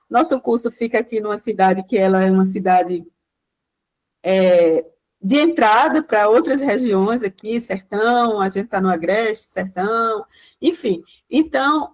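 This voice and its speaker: A woman's voice: on the high side, darkish tone. A woman giving a rambling guest lecture over a video call, full of tag questions and restarts. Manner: rambling explanation, frequent "né" tags and restarts. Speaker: guest lecturer, project coordinator. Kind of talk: online class talk.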